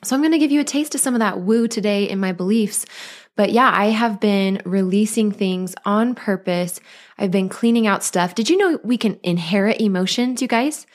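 A woman hosts her own podcast in a casual solo monologue. She talks in a bubbly, earnest way, chatty and warm-hearted in her words. Her voice sits at a middle pitch for a woman. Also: moderate pace. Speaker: podcast host and life coach.

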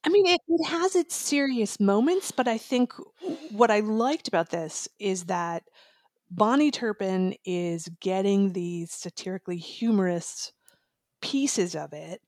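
A woman's voice: medium pitch, animated, a little slow, darkish tone, no echo, studio quality. A woman discussing an audiobook conversationally, as a reviewer. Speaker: audiobook reviewer and show guest.

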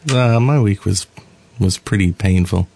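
A man's voice: deep voice, measured pace, creaky, darkish tone. A man co-hosting a casual gaming podcast, talking in a loose, rambling way with hesitant explanations. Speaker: podcast co-host.

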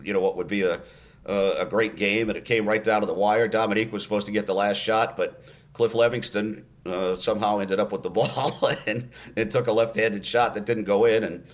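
An older man, a radio play-by-play announcer, telling stories in a relaxed interview with many fillers and restarts. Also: lowish voice, a little fast.

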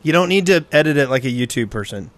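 A man's voice: medium pitch, animated, fast talker, fairly bright tone. A man chatting casually as a podcast co-host. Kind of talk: casual chat.

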